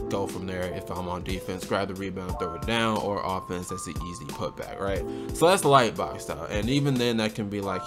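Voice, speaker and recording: A man with a slightly hoarse voice from a cold, giving a relaxed, conversational game tutorial as a channel host. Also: sits low. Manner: relaxed, conversational, often asks "right?". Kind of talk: casual game tutorial commentary. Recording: music playing under the voice.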